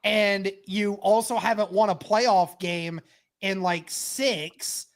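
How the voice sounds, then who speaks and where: A woman's voice: medium pitch, steady tone, slow pace. A woman on a sports roundtable, arguing about football in a defensive, emphatic way. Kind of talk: heated football debate.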